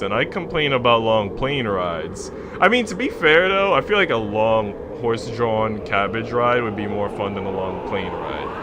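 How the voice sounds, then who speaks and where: A man's voice: on the low side, noisy background. A man hosting a game livestream, moving between casual, jokey rambling and reading story narration aloud. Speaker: game streamer, host.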